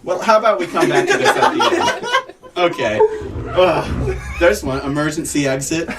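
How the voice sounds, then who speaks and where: This is a young man co-hosting a campus radio show, tossing out dry, teasing jokes in casual chat. His pitch moves a lot, rising and falling from line to line. The studio recording carries steady background noise. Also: moderate pace.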